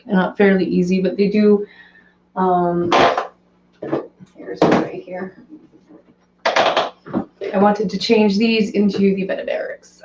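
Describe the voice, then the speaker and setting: Woman, hoarse live stream host, chatty and off the cuff, echoey dull home recording. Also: mid-range voice, noisy background. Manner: chatty, rambling, reads and answers viewer comments. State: hoarse, voice cracking. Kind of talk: casual live stream chat.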